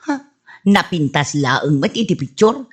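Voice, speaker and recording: A woman's voice: sits low, sing-song, measured pace, husky, full character voice. A woman playing a jealous, quarrelsome middle-aged wife in an Ilocano comedy radio drama, petulant and scornful, with a Filipino Ilocano accent.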